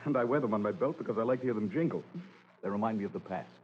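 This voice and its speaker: An actor playing an amiable, nostalgic former chemist in a radio drama, polite and obliging. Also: male voice, on the low side, expressive, rapid-fire, natural voice. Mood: wistful. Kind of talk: 1950s radio drama acting. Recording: muffled, noisy old radio recording.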